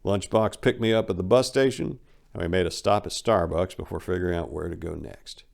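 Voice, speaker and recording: A man narrating a first-person memoir as a dramatic reading, with an expressive storytelling delivery and emphatic swings. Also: low-pitched, fairly quick, vocal fry. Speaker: audiobook narrator.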